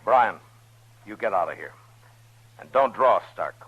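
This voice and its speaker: An actor playing a stern frontier marshal in a radio western, with an American accent and blunt, laconic authority. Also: male voice, lowish voice, lively intonation, unhurried, breathy edge. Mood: commanding, urgent. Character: stern, steady frontier US marshal of Dodge City. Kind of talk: 1950s radio western acting. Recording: muffled old-time radio recording.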